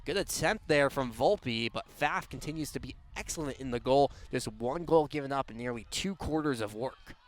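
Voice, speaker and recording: A man's voice: medium pitch, animated, normal speed, hardly any pauses. A young man doing live radio play-by-play sports commentary in a clipped, descriptive way. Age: young adult. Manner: clipped, descriptive call of the action. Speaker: play-by-play announcer.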